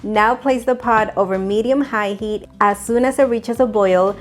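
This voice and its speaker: Upbeat woman host for a cooking lesson in a kitchen, clear instructive delivery, light music and clatter behind. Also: a little high. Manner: upbeat, instructive, laughs often. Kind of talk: cooking demonstration. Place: home kitchen.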